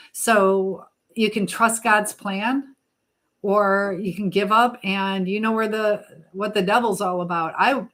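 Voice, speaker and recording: A woman's voice: medium pitch, a bit bright. Motherly middle-aged woman chatting on a livestream. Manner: motherly, advising, addresses viewers directly. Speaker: livestream host. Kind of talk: casual live chat, answering viewers' comments.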